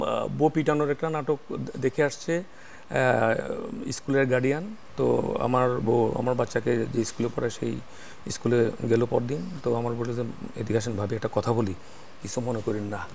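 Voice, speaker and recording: A Bangladeshi man telling a casual personal anecdote as an actor guest, speaking haltingly with frequent pauses. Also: mid-range voice, gravelly.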